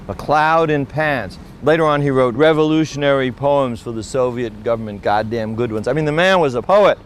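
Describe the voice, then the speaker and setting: A man in his sixties, a street poet, giving a theatrical poetic monologue that swings from hushed musing to shouting. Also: a little high, slight background noise. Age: 60s. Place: outdoors on city streets.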